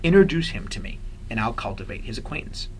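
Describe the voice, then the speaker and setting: A man with a mid-range voice reads aloud as a teacher would. His storybook narration is expressive. The recording carries some background noise. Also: medium pitch, fast, poor audio.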